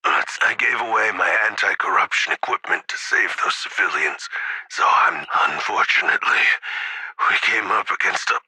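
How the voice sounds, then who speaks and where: An actor playing a wounded soldier in a video game, recording last words with halting, breathy, dramatic delivery and a raspy, strained voice. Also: male voice, deep voice, sharp tone, no room sound, heavily acted, poor audio.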